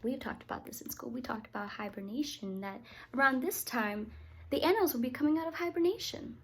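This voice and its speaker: A woman teacher reading a picture book aloud to young children, expressive and often turning to talk to them directly. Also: sits high, brisk, slightly rough. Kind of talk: read-aloud storytime with asides to children.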